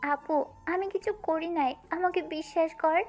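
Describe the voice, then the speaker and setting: A woman's voice: very high voice, measured pace, a little mellow. A woman playing an insistent, scheming younger sister who pleads innocence in an audio story. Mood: pleading. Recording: music under the voice.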